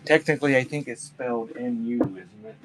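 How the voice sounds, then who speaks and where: A man's voice: mid-range voice, fairly even intonation, brisk, low-quality recording. A man hosting a casual live sale, rambling and joking wryly with the chat between reading out items. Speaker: live auction seller, show host. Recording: clear background noise.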